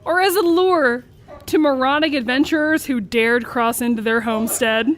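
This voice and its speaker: A woman's voice: sits high, normal speed, slight background noise. A woman reading her own comic story aloud as a live storyteller, audibly amused as she goes. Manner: amused, breaking into laughter as she reads.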